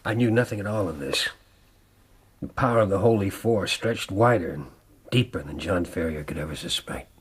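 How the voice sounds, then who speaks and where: An actor playing a rugged, defiant frontier man with a husky voice in a radio drama. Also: deep voice, normal speed. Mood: brooding. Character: rugged frontier man in love, narrating his ordeal, defiant. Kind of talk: radio drama acting and narration.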